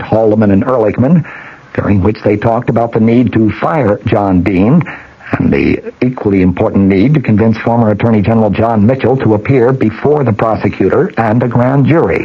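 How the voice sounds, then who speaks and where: An American man reading a radio news report in a measured, factual way, on an old broadcast recording. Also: fairly low pitch, husky. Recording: muffled 1970s radio broadcast.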